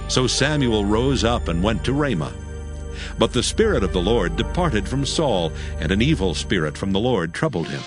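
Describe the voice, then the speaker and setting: Expressive male scripture narrator over music. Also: lowish voice, normal speed. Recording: prominent music bed behind voice.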